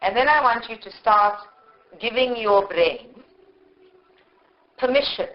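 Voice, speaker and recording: A woman guides a meditation at a very slow pace. She speaks in short, measured phrases and leaves long pauses between them. The live recording sounds dull and lo-fi. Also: a little high, animated. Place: live group meditation session.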